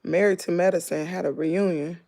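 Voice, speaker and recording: Hoarse, gossipy Southern woman with gravelly voice. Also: on the low side, fairly even intonation, moderate pace, dark tone. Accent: Southern American. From Southern United States. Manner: chatty, gossipy, dramatic exclamations. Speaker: vlogger. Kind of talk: casual chat with viewers.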